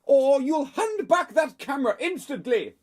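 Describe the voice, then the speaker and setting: Storybook role: exasperated, stern mother, high shouted scolding with big pitch swings , acted by an adult narrator. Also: sing-song, a little slow. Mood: scolding, yelling.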